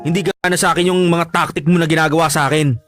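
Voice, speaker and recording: An actor with a Filipino accent playing a cold, irritable boyfriend, curt and scolding, in a radio drama. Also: male voice, on the high side, fairly even intonation, fast talker, fairly bright tone, no echo, faint music behind, close to an everyday voice. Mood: dismissive, annoyed.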